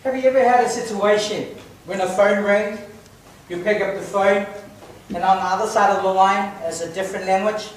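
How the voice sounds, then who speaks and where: High-voiced man telling a comic story. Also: steady tone. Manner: telling a comic story to an audience with pauses for laughs. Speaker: speech contestant. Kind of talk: humorous contest speech, storytelling. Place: large hall, public speaking contest. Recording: echoey hall from far off, noisy. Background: audience laughter.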